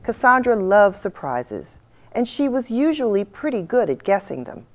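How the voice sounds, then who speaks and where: A woman reading a children's picture book aloud with expressive storytelling delivery. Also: medium pitch, normal speed. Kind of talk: storybook read-aloud narration.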